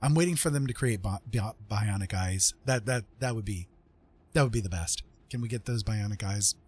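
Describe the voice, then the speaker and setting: A man with a husky voice hosting a casual livestream, talking in a rambling, joking way. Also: lowish voice, normal speed, darkish tone. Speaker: streamer host.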